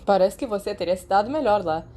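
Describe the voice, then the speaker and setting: A woman's voice: medium pitch, lively intonation, fast, mellow, lightly acted. A woman voicing an earnest, curious and proud schoolboy in an audiobook, inquisitive and forthright. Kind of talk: audiobook character voice in Brazilian Portuguese.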